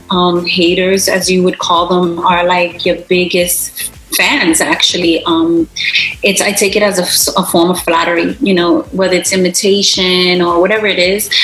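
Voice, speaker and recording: A woman's voice: lowish voice, fairly bright tone. A businesswoman from Brooklyn, answering interview questions in a chatty way with lots of fillers. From Brooklyn, New York. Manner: chatty, laughs easily, many fillers. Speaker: interview guest, business owner. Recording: roomy echo, faint music behind.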